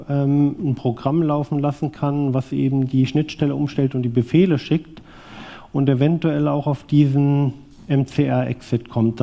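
A man in his forties from southwest Thuringia presents a technical talk, thinking aloud as he goes. His voice is very dark and mellow, and he keeps stopping and restarting with many fillers. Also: medium pitch. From southwest Thuringia, East Germany. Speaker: conference speaker presenting a vintage graphics device.

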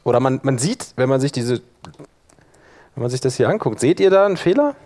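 A man's voice: mid-range voice, expressive, brisk, darkish tone. Man giving an informal workshop. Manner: loose, conversational, addresses listeners with questions. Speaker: workshop presenter. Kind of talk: informal live software tutorial.

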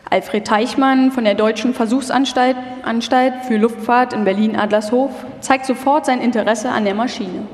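A woman's voice: on the high side, steady tone, moderate pace, hardly any pauses. Woman, live documentary narration, raised register, scripted delivery, heavy echo. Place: live stage in a reverberant hall. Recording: echoey hall with long reverb.

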